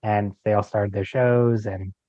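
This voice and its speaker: A man's voice: lowish voice, steady tone, measured pace, mellow, dry recording. A man speaking as a podcast guest in a casual, informal chat, recorded with slightly lo-fi sound. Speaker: podcast guest, creator of an audio drama.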